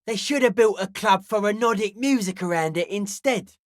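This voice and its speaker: A woman's voice: medium pitch, moderate pace, dry recording, lightly acted. Woman as a cryptic, earnest young raver psychic, dry recording. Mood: cryptic, matter-of-fact. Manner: slangy, cryptic, matter-of-fact. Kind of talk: video game character dialogue.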